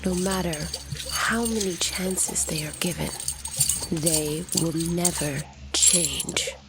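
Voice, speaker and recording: An actress playing an embittered, cold Titan woman in a fantasy audio drama, solemn and breathy, resolute in her cause. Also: lowish voice, slow pace. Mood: bitter, disdainful. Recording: clear noisy ambience behind the voice.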